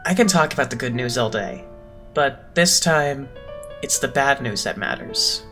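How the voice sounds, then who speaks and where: A man's voice: mid-range voice, animated, sharp tone, soft background music. Man playing a composed, lofty young idol leader. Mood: lofty, measured. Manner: measured, pompous, smoothly condescending. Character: composed, eloquent young male idol leader, loftily polite. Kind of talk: anime-style fandub voice acting.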